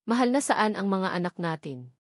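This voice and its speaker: A woman's voice: mid-range voice, big pitch swings, moderate pace, no room sound, studio quality. A woman reading the part of an anxious, loving young mother in an emotional, affectionate way, in a story. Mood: frantic, tearful.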